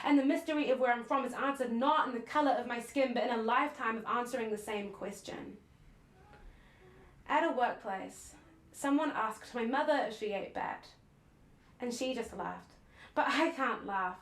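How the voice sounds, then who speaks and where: A young woman with a New Zealand accent performing slam poetry in a measured, deliberate, earnest way with dramatic pauses. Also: fairly high pitch. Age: young adult. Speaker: slam poet. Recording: roomy, echoing voice recording.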